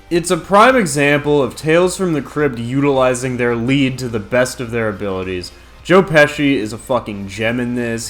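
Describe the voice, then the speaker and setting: A man hosting and narrating a scripted video review in a casual, profane, enthusiastic manner. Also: medium pitch. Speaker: video host.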